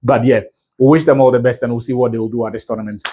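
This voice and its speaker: A man from Ghana talks through a team's chances as a football analyst in a solo video. His speech is fluent and conversational, full of asides and quick self-corrections, at an ordinary mid pitch. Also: steady tone, dark tone, slight background noise.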